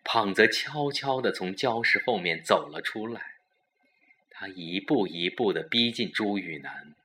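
Male narrator for a thriller audio drama. Fairly low, bright forceful tone, dramatic delivery. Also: animated. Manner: dramatic, deliberate storytelling.

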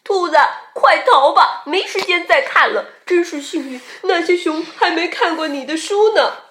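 A woman's voice: very high-pitched, moderate pace, fairly bright tone, echoey room, pitched above the performer's own voice. A woman voicing a timid young rabbit in a children's storybook, trembling and fearful as it speaks. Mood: scared. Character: timid, whimpering young rabbit, frightened sidekick.

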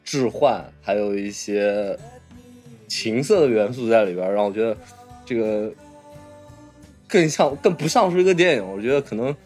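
A man co-hosting a casual film discussion, blunt and slangy, often halting and repeating words. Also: on the low side, expressive, darkish tone, soft background music. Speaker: podcast co-host.

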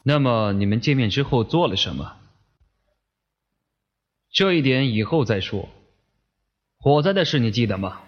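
A man's voice: medium pitch, expressive, normal speed, long pauses, lightly acted. A man playing a methodical police detective questioning someone in an audio drama, businesslike and pressing. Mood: probing, businesslike. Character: male police detective, probing, methodical, firm interrogator. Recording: slightly dull, lo-fi microphone sound.

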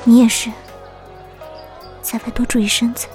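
A woman plays a caring young wife in an ancient Chinese household drama. Her voice is fairly high and very mellow, gentle in manner, over background music. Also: level tone, moderate pace, natural voice. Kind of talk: Chinese period audio drama acting. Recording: music behind the voice.

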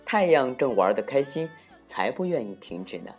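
A woman narrating a folktale for children as an expressive storyteller, deliberate and with dramatic emphasis. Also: rumbling low. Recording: muffled lo-fi recording with music.

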